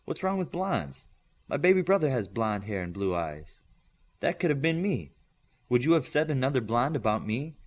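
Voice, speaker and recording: A man's voice: mid-range voice, very animated, normal speed. A man voicing a teasing, knowing young telepath girl in an audiobook story. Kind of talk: audiobook character dialogue.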